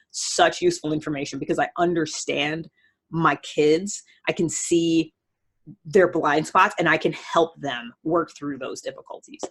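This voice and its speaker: A woman's voice: lowish voice, breathy edge. An American woman, around her thirties, talking casually and informally as she explains things in a podcast-style monologue. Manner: informal, conversational, peppered with personal examples. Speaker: podcast host.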